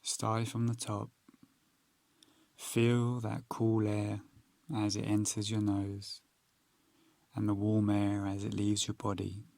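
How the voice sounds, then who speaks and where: A man leading a guided meditation in a calm, unhurried, instructional manner with long pauses. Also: on the low side, gravelly, dry recording.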